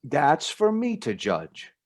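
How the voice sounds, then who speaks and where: An actor playing a protective, teasing older brother in a radio play, speaking in an emphatic, insistent way. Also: male voice, fairly high pitch, huge pitch swings, slow, darkish tone, full character voice. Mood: soothing, firm. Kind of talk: radio drama acting.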